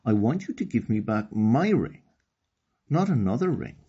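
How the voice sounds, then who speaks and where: An angry, stubborn village girl from a storybook, voiced by a man with an Irish accent. The delivery is insistent, husky and animated, on a slightly dull recording. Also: medium pitch, moderate pace. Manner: insistent, emphatic.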